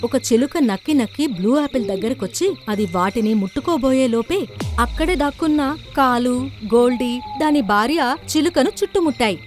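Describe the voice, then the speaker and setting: A woman's voice: high voice, animated, fairly quick. A woman narrator reading a scripted children's story. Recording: background music under the voice.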